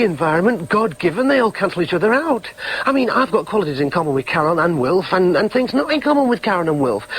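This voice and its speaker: An actor playing an earnest, needy grown son in a radio drama, questioning himself emphatically. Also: male voice, high-pitched, husky, exaggerated voice, poor audio. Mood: confused, searching. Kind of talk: radio drama with inner monologue.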